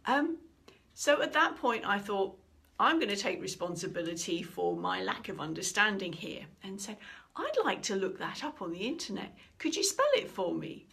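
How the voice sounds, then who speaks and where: A middle-aged woman, a communication trainer, giving an instructional talk and telling a story with lively, acted-out quotes. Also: medium pitch, normal speed, creaky. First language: English. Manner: animated storytelling, acting out quoted speech.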